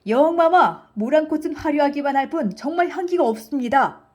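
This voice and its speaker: A woman voicing an excitable, deferential royal courtier in a children's storybook, with exclamatory, archaic courtly speech. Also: high voice, fairly quick. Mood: deferential, excited.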